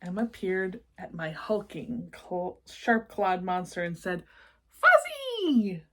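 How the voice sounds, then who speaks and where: A woman's voice: on the low side, slow pace. A woman reading a children's story aloud expressively, adding chatty asides for young listeners.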